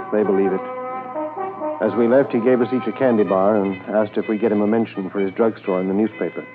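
Male actor as Canadian Mountie narrator, low register, sober and factual, 1950s radio drama, thin phone-like sound. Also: close to an everyday voice. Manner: measured, matter-of-fact. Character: sober Mountie inspector narrating a manhunt case. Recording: tinny 1950s radio transcription, faint music.

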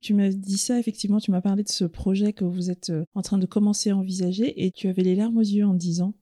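Mellow-voiced woman interviewer. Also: medium pitch, flat delivery, quick pace. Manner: attentive, recaps what the guest said before asking. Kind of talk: podcast interview questions.

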